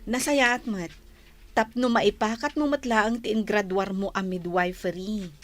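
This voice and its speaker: A woman playing a gentle, patient working woman who avoids conflict, mild and agreeable, in a radio drama. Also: mid-range voice, steady tone, measured pace, soft background music, natural voice. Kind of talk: Philippine radio drama acting.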